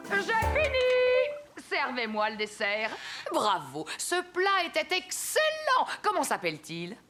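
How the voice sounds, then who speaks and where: A woman's voice: sits high, wildly swooping pitch, slow, music in the background, heavily acted. A woman voicing a haughty, picky food critic in a cartoon, with a fussy, dramatic delivery. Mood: grand. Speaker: restaurant critic.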